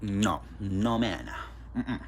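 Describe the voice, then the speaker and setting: Young Mexican-accented man, podcast host, deep and slow, rambling and profane. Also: a little hiss or hum. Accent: Mexican Spanish. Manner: loose, profane, chatty, rambling. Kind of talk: casual solo podcast chat.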